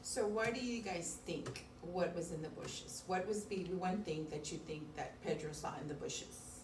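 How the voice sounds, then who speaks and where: A woman's voice: on the low side, a little slow. Woman, children's teacher, mid-range voice, simple informal explaining, roomy distant recording with faint noise. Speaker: preschool teacher co-hosting a video lesson. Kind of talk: informal lesson chat for children. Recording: echoey room, distant mic, faint noise.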